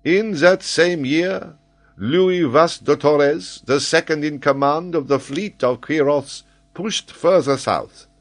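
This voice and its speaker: A man voicing a proud, excitable French geographer from a storybook, pedantic and emphatic as he lectures. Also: fairly high pitch, slow pace, voice pushed up. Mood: eager lecturing.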